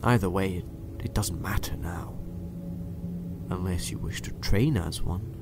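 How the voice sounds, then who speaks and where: A man playing a coldly menacing doctor in a dystopian audio drama, measured and condescending, at times hushed. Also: deep voice, heavy background noise. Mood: cool, condescending.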